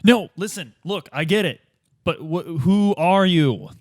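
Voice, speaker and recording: A man's voice: high-pitched, a little slow, a little mellow, dry recording, clean studio sound. A man taking part as a player in a tabletop game, speaking in casual, improvised roleplay.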